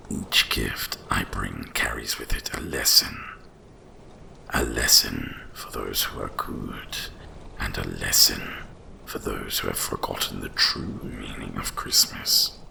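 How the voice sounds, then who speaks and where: A man voicing an ancient, wise dragon guardian of winter in a slow, solemn, gravelly whisper for an ASMR roleplay. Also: sharp tone, exaggerated voice. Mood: solemn, gentle. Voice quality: deep gravelly breathy whisper. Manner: slow, solemn, deliberate delivery.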